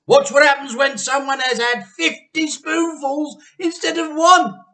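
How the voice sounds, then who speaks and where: A man's voice: very high voice, steady tone, unhurried, pushed and bright, pitched above the performer's own voice, heavily acted. A man voicing a gleeful, mischievous farmer husband in a storybook, exuberant and mocking. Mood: excited shouting.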